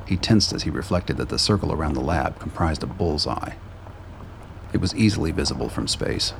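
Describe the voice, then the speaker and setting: A man with a very deep, gravelly voice reading scripted dramatic narration for a radio play. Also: expressive, a little fast, a little hiss or hum.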